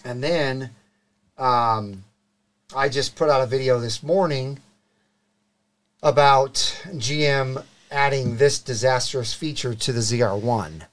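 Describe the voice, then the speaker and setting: Middle-aged dude hosting a live car show, upbeat, talks into a desk mic. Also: male voice, medium pitch, slow. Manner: upbeat, reads viewer names and sponsor plugs. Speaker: show host. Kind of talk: live car podcast chat. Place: studio set with desk mics. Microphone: desk mic on boom arm.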